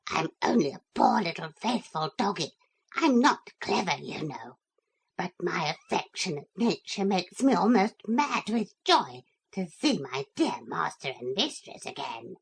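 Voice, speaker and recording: A woman voicing a small, fawning female dog in a softly shrill, gushing voice. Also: very animated, measured pace, breathy edge, exaggerated voice, low-quality recording.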